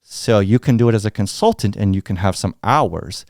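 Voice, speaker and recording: A middle-aged American man telling candid business stories as an interview guest, blunt and animated, acting out what others said. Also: fairly low pitch, normal speed, no echo, studio quality. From United States. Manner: blunt, animated, acts out quoted dialogue.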